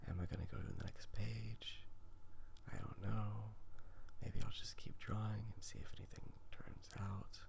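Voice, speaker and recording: A man talks very quietly in a low voice full of crackling fry, often slipping toward a whisper. He rambles in a halting, musing, self-deprecating way, like casual ASMR chat. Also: flat delivery. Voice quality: hushed, breathy, half-whispered. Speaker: host of an ASMR drawing channel. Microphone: phone recording.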